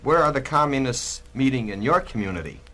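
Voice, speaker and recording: A man's voice: medium pitch, expressive, brisk, fairly bright tone. A man narrating a scripted 1960s anti-communist documentary record in an emphatic, admonishing, oratorical manner.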